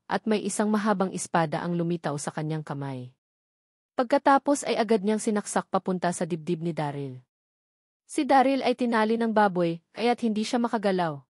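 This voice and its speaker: A woman narrates a novel in scripted audiobook style, with a medium-pitched voice. The recording is very dry, with no room around the voice. She reads as a storyteller, working from a written text. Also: darkish tone, no echo.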